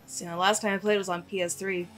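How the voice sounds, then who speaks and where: A woman's voice: mid-range voice, little pitch movement, fast talker, fairly bright tone, soft background music. A woman giving casual, wry let's play commentary as a gaming streamer, self-deprecating and sweary.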